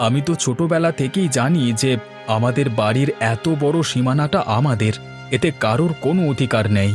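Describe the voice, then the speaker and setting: A man's voice: mid-range voice, animated, a little fast, lightly acted. A man playing a poor, humble village man in a cartoon, speaking deferentially and hesitantly. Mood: bewildered, pleading. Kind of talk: Bengali cartoon story voice acting. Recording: faint music behind the voice.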